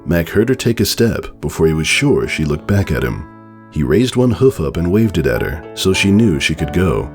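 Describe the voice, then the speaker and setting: A man's voice: sits low, sing-song, normal speed. A man narrating a story as a dramatic, scripted reading. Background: background music.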